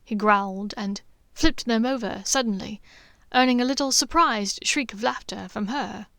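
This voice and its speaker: A woman reads a story aloud in a steady, soft and intimate way, her voice sitting a little high. The recording is close and very dry, with no room sound. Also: normal speed, gravelly, dry recording. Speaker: podfic narrator and author. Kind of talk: audiobook fan-fiction reading.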